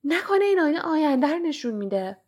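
A woman voicing an excitable young schoolboy wizard, raising her voice higher and louder than her narration. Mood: excited.

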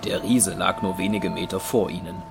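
A man's voice: low-pitched, flat delivery, normal speed, noisy background. A man narrating a fantasy action story from a script in a dramatic storytelling style. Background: clear music.

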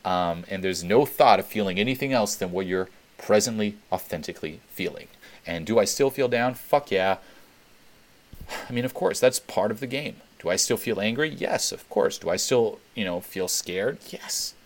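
A man's voice: lowish voice, lively intonation, normal speed. A young man from Switzerland hosting a webinar Q&A, talking candidly and swearing freely as he repeats points for emphasis. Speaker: webinar host. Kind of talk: casual Q&A talk.

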